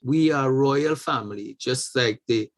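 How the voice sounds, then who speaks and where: Trinidad-born guy telling an old family tale in an interview, middle pitch, takes his time, restarts a lot. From Trinidad and Tobago. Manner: unhurried, anecdotal, with many restarts. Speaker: guest. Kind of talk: conversational storytelling.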